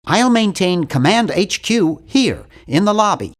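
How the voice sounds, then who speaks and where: A man's voice: sits high, very animated, unhurried. A man voicing an earnest, timid young male nerd in an adventure video game, with a precise, fussy delivery. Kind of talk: animated adventure game voice acting.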